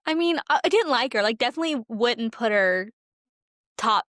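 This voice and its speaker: A woman's voice: on the high side, expressive, moderate pace, fairly bright tone, no room sound, clean studio sound. A woman co-hosting a podcast, chatting casually about a film in an informal, emphatic, slangy way. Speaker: podcast co-host.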